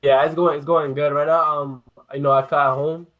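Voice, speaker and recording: A man's voice: fairly high pitch, level tone, fairly quick. Young man from New York on a tinny phone line. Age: young adult. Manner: laid-back, casual slang. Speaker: guest. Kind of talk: casual chat. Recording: thin phone-line audio.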